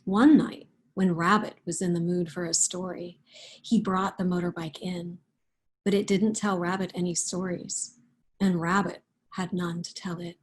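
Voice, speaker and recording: A woman with a soft, breathy voice, speaking in a thoughtful, unhurried manner as a picture book author. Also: lowish voice, level tone. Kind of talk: read-aloud storytelling and reflective interview talk.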